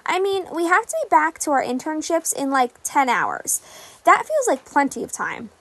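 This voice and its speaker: A woman's voice: high voice, big pitch swings, normal speed, a bit bright, heavily acted. A woman voicing a brash, mischievous teenage girl hero trainee in a story reading. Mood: determined, breezy. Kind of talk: podfic reading of group-chat messages.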